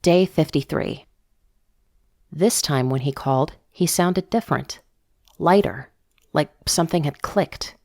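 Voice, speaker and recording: A woman giving a measured, intimate first-person narration of a scripted drama, as if reading from a diary. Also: sits low, gravelly, a little mellow, no room sound, studio quality. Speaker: narrator of a fiction podcast story.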